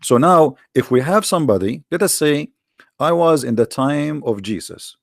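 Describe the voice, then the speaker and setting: A man hosts a live online debate in a gravelly voice. He teases and questions his opponent in a sarcastic, mocking way. The sound is close and dry. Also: a little high, animated, normal speed, no echo. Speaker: debate host.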